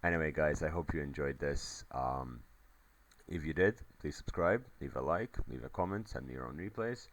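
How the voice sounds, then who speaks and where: A man's voice: very deep voice, moderate pace. A man giving casual play-by-play commentary on a strategy game replay, his voice a little crackly, rambling with many fillers.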